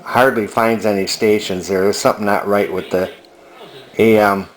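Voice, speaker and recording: An older man giving a casual repair demonstration as a hobbyist, halting as he thinks aloud. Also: fairly low pitch, steady tone, poor audio. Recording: background music from vintage radio and turntable.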